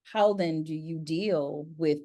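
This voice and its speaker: An American woman with a low-pitched voice hosts a conversational interview. She speaks with emphasis and restates key phrases to drive them home. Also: slow, dark tone. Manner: emphatic, repeats phrases for effect. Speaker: interviewer and voice-acting community leader.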